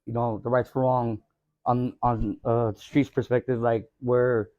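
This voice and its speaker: A man's voice: mid-range voice, level tone, a little slow, mellow, no room sound, dull audio. A man on a podcast, speaking earnestly and often saying "you know what I mean". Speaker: podcast guest. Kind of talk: casual chat.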